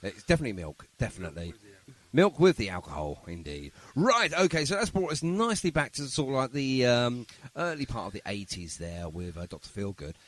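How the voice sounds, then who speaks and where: A man's voice: mid-range voice, big pitch swings, fairly quick, few pauses. A man hosting a podcast in a casual, chatty and jokey style. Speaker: podcast host.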